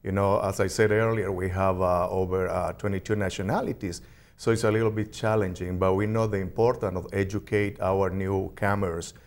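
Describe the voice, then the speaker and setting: A man from Peru with a Peruvian Spanish accent, giving halting, earnest answers as an interview guest. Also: deep voice, steady tone. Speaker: interview guest, ESOL program representative.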